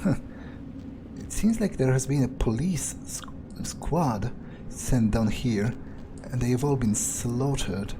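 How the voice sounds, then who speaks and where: A man's voice: mid-range voice, animated, takes it slowly, background noise. A man doing in-character game commentary, thinking aloud in a musing, hesitant, casually sweary way. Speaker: video game player narrating in character.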